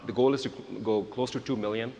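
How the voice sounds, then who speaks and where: A man in his forties from India speaks as a guest on stage. His voice is mid-pitched, earnest and a little halting as he restarts phrases. It carries through a reverberant hall. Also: steady tone, warm tone. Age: 40s. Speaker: real estate agent, guest speaker. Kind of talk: on-stage interview answers. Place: large event hall with audience. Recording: echoey hall with faint noise.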